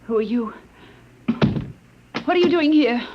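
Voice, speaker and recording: A woman's voice: on the high side, animated, unhurried, noisy background. An actress playing an anxious, bewildered captive woman in a radio drama. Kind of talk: 1950s radio drama acting. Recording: dull vintage radio recording.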